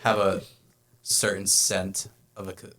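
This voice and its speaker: A young man co-hosts a casual podcast. He speaks with a lowish pitch and earnestly thinks his points through out loud. He comes across as sincere and chatty. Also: flat delivery, a little slow. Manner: earnest, reasoning aloud. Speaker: podcast co-host. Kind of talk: casual chat.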